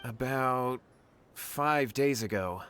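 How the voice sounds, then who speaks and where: A man's voice: medium pitch, slow, fairly bright tone, a little hiss or hum. An anxious earnest young man. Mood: worried. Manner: earnest, anxious, stammering when pressed. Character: anxious young man pleading for his brother. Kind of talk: video game voice acting. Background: game background music.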